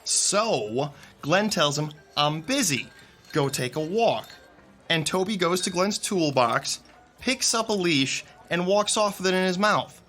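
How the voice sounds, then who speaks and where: A man's voice: high-pitched, lively intonation, measured pace, bright, forceful tone, soft background music, heavily acted. A man playing a joking, friendly theater crew member who tells funny stories about coworkers. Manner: teasing storyteller. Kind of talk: radio drama acting.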